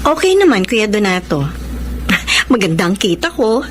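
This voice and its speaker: A woman's voice: mid-range voice, big pitch swings, measured pace, loud music behind the voice. An actress with a Filipino accent playing a cheerful, resilient widowed mother in a radio drama. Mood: cheerful, determined.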